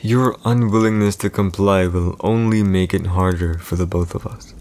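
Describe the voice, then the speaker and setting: A deep-voiced, cold emperor acted out by a man holds court in an audio roleplay. He is proud and calculating, weighing each phrase with deliberate, imperious pauses. A faint bed of ambient noise sits behind his voice. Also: steady tone, a little mellow. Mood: cold, menacing. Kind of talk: scripted boyfriend audio roleplay, slow dramatic monologue.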